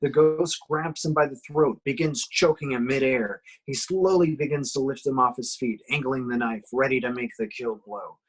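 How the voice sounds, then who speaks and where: A man's voice: mid-range voice, moderate pace, few pauses, breathy edge, low-quality recording. A man reading out screenplay action as narrator in an amateur horror table reading.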